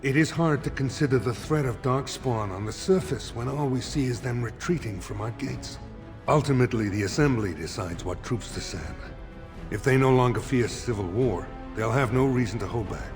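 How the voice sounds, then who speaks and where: An actor playing a dignified, earnest older lord in a fantasy game, courtly, measured and solemn. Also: male voice, mid-range voice, lightly acted. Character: dignified elder lord seeking a throne, earnest. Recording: faint game music behind.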